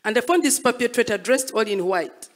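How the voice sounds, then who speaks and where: A woman's voice: a little high, expressive, brisk. Female artist interviewee, reflective storyteller, emphatic with repeated phrases. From Rwandan, born and raised as a refugee abroad.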